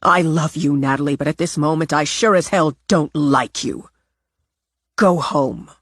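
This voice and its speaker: A woman voicing a calm, firm older grandmother who speaks in a measured, steady way. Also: sits low, no room sound, studio quality. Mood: stern.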